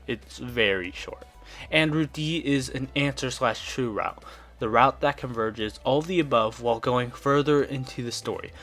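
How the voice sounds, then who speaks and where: A man reading a scripted review as a video reviewer, in a measured, explanatory and opinionated way. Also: medium pitch, fairly bright tone.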